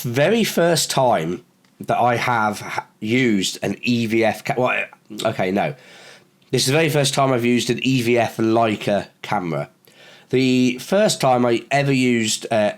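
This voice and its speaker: A man with a sore throat who sounds a little croaky, chatting casually in a review vlog with lots of fillers and restarts. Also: medium pitch. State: hoarse from a cold. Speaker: camera reviewer.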